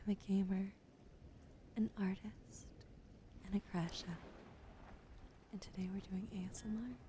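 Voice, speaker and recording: A woman whispering gently in a slow, soothing ASMR stream, welcoming and thanking her viewers. Her voice carries a light vocal fry. A clear steady hiss of noise sits behind her. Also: medium pitch. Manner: greets and thanks chat.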